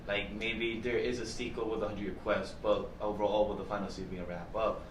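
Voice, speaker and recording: Deep, even-voiced male interviewer in echoey room. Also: a little fast. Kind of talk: wordy conversational questioning. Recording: echoey room, distant mic, clear background noise.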